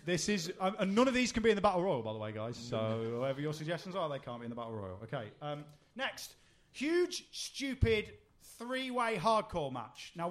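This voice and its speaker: Laid-back man telling funny stories. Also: fairly high pitch. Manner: anecdotal, laughing, runs the segment. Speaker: podcast host. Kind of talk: casual chat.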